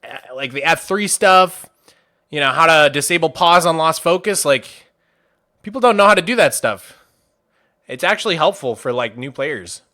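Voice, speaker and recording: A man's voice: on the high side, expressive, normal speed, sharp tone. A Swedish man streaming, chatting casually and rambling with lots of fillers while he reacts to a show. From Sweden.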